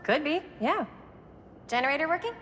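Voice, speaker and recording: Casting: breezy, eager American girl, young adult, very lively intonation, game cutscene, reverberant and noisy. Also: high voice, normal speed. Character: eager, breezy young woman exploring an abandoned mill. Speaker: video game character. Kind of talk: naturalistic game cutscene acting. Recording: echoing hall, noisy ambience.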